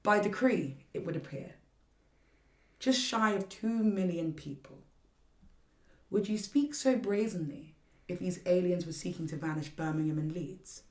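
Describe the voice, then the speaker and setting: A woman's voice: fairly low pitch, a little slow, reverberant, distant mic, natural voice. A woman playing a principled, cutting government minister in a satirical radio play, with a formal, oratorical delivery. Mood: indignant, formal.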